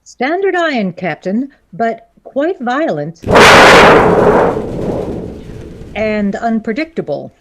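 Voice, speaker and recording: A woman's voice: a little high, sing-song, slow, darkish tone, highs cut off, a little hiss or hum, exaggerated voice. A woman playing a coldly logical female first officer in a sci-fi fan audio drama, with clipped, formal, commanding delivery. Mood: matter-of-fact.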